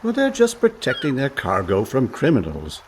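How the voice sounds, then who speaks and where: A man acting as a cajoling swindler and older brother in a radio comedy. His pitch swoops dramatically as he talks in a glib, theatrical, breezy way. Faint noise sits behind the voice. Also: wildly swooping pitch, moderate pace. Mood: breezy, teasing. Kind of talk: comic radio play acting.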